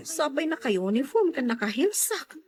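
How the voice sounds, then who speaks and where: A woman's voice: high-pitched, big pitch swings, takes it slowly, heavily acted. A woman with an Ilocano accent playing a bossy, complaining, demanding wife in a radio drama. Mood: fussy, put out. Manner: bossy, exclamatory, grumbling. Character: sharp-tongued, demanding wife, fussy and complaining about neighbours.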